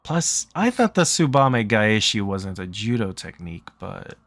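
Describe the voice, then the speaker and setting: Lower-voiced man narrating a visual novel. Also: sing-song, a little slow, a bit bright, no room sound. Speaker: game player reading the text aloud. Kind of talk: narrating a visual novel aloud during play.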